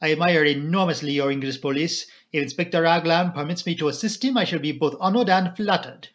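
A man voicing a courteous, higher-pitched retired Belgian detective. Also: fairly bright tone, close to an everyday voice. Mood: quietly flattering. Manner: courteous, formal, measured. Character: retired Belgian detective, polite, modest, quietly shrewd older man. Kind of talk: audiobook character dialogue.

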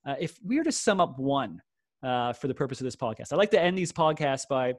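Quick-talking man host, dry close sound. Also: mid-range voice, sing-song, no room sound. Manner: fast, filler-heavy, eager. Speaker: podcast host. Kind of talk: friendly interview. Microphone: video call.

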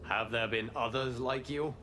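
An actor playing a calm, solemn god in a video game, measured and formal. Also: male voice, medium pitch, soft background music, close to an everyday voice. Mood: grave.

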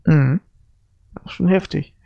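A man's voice: on the high side, a little slow, vocal fry, no room sound, dull audio. A man casually putting questions as a podcast co-interviewer. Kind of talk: casual chat.

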